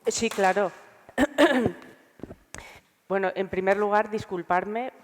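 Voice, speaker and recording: A woman's voice: mid-range voice, slow. A woman with a Spanish accent from Spain, congested with a cold, gives informal panel remarks, hesitantly thinking aloud. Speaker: research scientist, panelist. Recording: reverberant hall sound.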